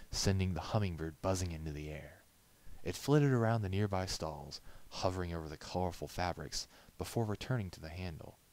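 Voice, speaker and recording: A man reading a story aloud as a narrator, in scripted storybook style. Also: low voice.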